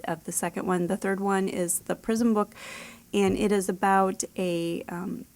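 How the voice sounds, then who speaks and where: A woman's voice: mid-range voice, steady tone, moderate pace, darkish tone. A woman author talking as a guest in a casual interview, explaining things with the odd laugh in her speech.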